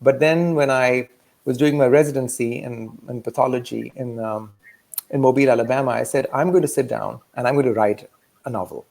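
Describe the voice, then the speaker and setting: Middle-aged guy from pakistan, raspy low rumble, keeps stopping and restarting, uh uh. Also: steady tone, darkish tone. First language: a language of Pakistan. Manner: halting, many fillers and restarts. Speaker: author guest. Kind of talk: casual interview talk.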